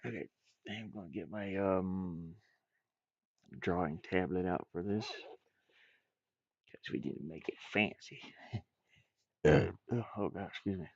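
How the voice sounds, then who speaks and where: A man's voice: sits low, slow pace, long pauses, breathy edge, no room sound, dull audio. A man thinking aloud in casual, rambling chat as he works on game art, muttering and making playful asides. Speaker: indie game designer streaming.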